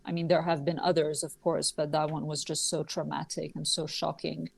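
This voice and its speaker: Podcast guest from Switzerland: low, monotone woman, measured and explanatory. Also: gravelly, slightly rough. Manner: measured, explanatory, with many fillers. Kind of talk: podcast interview.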